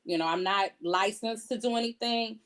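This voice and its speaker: A woman's voice: mid-range voice, moderate pace, fairly bright tone. A woman, an author being interviewed, chatting casually and telling anecdotes by acting out what other people said.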